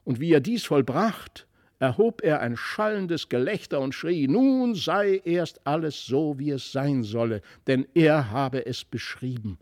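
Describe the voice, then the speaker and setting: A man with a standard German accent reads a literary fairy tale as an expressive, deliberate storyteller. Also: fairly high pitch, no echo, studio quality. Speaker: audiobook reader.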